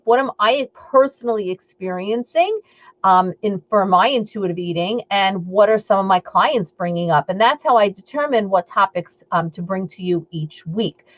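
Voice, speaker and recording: A woman's voice: medium pitch, dull audio. A woman with a Long Island accent hosting a live stream as an eating coach, chatting upbeat and rambling with lots of fillers and asides to her viewers. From Long Island, New York.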